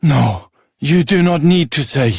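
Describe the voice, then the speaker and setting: An actor playing an earnest, humble swordsmith and shopkeeper in a fantasy video game, speaking formally and reverently with theatrical weight. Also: male voice, on the high side, unhurried, gravelly, darkish tone, husky, dry recording, clean studio sound, full character voice. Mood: amazed. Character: earnest, humble exiled swordsmith turned village shopkeeper, male.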